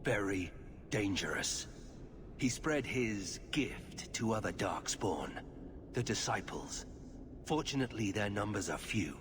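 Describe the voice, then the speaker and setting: Grave warden, noisy backdrop. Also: male voice, fairly low pitch, lively intonation, in a large hall. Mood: explaining. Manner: serious, measured. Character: grave, guarded male warrior warden. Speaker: warden expedition leader in a fantasy game. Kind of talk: video game voice acting. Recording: clear background noise, game ambience.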